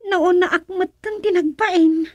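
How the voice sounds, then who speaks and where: Very high-voiced woman playing a Filipino youngster. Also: steady tone, a little slow, no echo. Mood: chatty, surprised. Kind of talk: Ilocano radio comedy drama acting.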